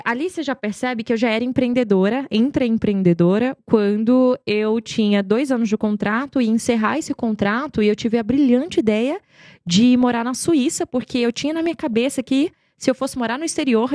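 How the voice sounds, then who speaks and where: Woman w chatting on a podcast, highish voice, dry close sound, talky and emphatic. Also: brisk, dry recording. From Goiânia, Brazil. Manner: talkative, expressive, emphatic with rhetorical questions. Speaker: podcast guest, marketing executive. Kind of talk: conversational interview storytelling.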